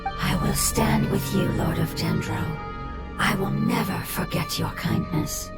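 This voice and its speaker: An actor voicing a gentle, grateful ancient nature spirit with a gravelly, whispery voice in a video game. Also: mid-range voice, a little slow, lightly acted. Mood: solemn. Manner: solemn, earnest. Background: game music.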